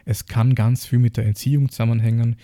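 A man's voice: medium pitch, flat delivery, fast talker, warm tone, no room sound. An Austrian man co-hosting a podcast, explaining reflectively in casual, piecemeal phrases with rhetorical questions. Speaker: podcast co-host.

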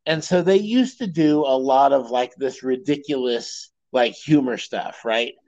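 Slow-talking man in casual podcast chat. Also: medium pitch, dark tone, no room sound, muffled recording. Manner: rambling, full of fillers, laughs often. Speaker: podcast co-host.

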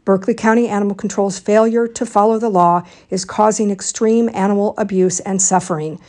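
A woman with a mid-pitched voice reads a prepared statement as head of an organization. She is formal and measured, placing emphasis on her key claims. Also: steady tone, darkish tone. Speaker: organization president and founder.

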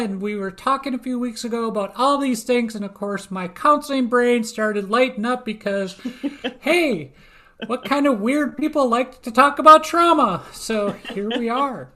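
A woman's voice: fairly high pitch, a bit bright. A woman with an American accent from Minnesota, co-hosting a podcast in casual interview chat. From United States, Minnesota. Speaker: podcast co-host.

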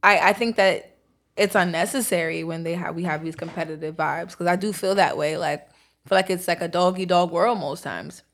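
A woman's voice: on the low side, a little fast, vocal fry. A young woman with a Brooklyn accent, chatting casually as a guest and laughing often. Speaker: interview guest, author and poet. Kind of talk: casual conversation.

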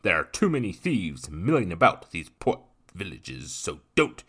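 A man voicing a brash, commanding gentleman giving orders in a storybook reading. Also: deep voice, wildly swooping pitch, a little slow, slightly rough, exaggerated voice.

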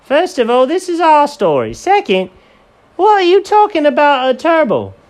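A man voicing an excitable, curious little male character in a made-up bedtime story, squeaky and full of questions. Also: moderate pace, full character voice. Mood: excited. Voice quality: squeaky, wide-eyed questioning.

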